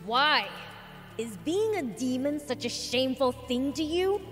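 A woman's voice: high voice, expressive, a little slow, a bit bright. A woman voicing a brash, cocky young demon prince in a video game, boastful, blunt and flippant. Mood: offended. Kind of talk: video game cutscene acting. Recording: long hall reverb, music underneath.

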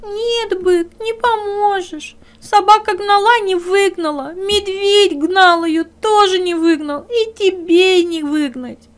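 A woman's voice: extremely high pitch, slow, voice pushed up. A woman voicing a small, pitiful young male hare in a plaintive, whimpering storybook voice. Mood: plaintive, tearful.

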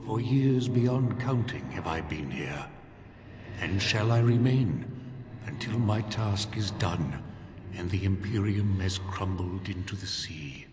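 An ancient, devout male guardian from a fantasy game. He speaks slowly and solemnly, with formal weight, in a cavernous echo over noisy ambience. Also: deep voice, expressive. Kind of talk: fantasy video game acting.

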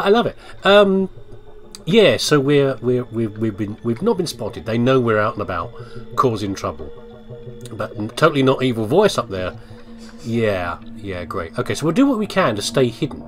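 A man gives casual game commentary into a close mic. His mid-pitched voice swings around playfully as he chats to himself over faint music. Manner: jokey, chatty, talks to himself. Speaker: gaming video host. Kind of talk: casual let's play commentary.

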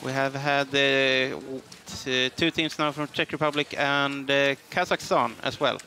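A man's voice: medium pitch, fairly even intonation, unhurried, pushed and bright, slight background noise, rough recording. A man commentating live on a ski race in English, hesitant and full of fillers. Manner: hesitant, many fillers, non-native English.

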